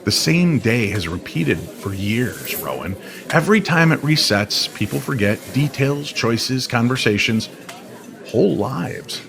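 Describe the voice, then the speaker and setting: A man playing a weary, enigmatic stranger in a radio drama, speaking in a calm, measured way. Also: mid-range voice, gravelly. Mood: knowing, grave. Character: weary, cryptic male stranger who knows hidden truths. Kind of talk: old-time radio drama acting. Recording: crowd chatter behind the voice.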